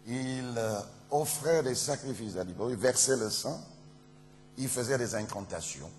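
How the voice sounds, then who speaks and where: A man from Ghana, a pastor, giving a solemn testimony in a sermon. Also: mid-range voice. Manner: slow, solemn storytelling delivery. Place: church. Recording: reverberant church hall, faint hum.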